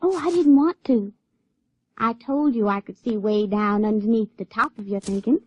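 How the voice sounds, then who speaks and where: A woman's voice: a little high, normal speed, a little mellow, slightly rough. A woman acting in a radio drama as a naive, spirited young country woman, with folksy rural speech. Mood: coy, knowing. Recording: dry, dull radio-drama recording.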